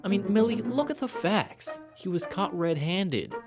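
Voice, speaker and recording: An actor playing a defensive, accusatory young college student in an audio drama, insistent and dismissive. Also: male voice, high voice, lively intonation, unhurried, over music. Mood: accusing. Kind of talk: scripted audio drama acting.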